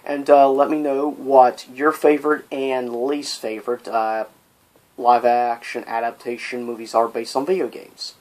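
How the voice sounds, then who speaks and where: A man's voice: medium pitch, little pitch movement, a little slow, a little mellow. A man hosting a casual, opinionated vlog, talking informally with rambling asides and exasperated rhetorical questions. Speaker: video vlogger, host. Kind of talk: casual, opinionated top-list vlog commentary.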